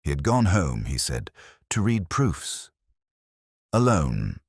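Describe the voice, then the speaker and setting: A man narrating a scripted first-person detective story in a measured, solemn, literary manner. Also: rumbling low, big pitch swings, breathy edge, dry recording, clean studio sound.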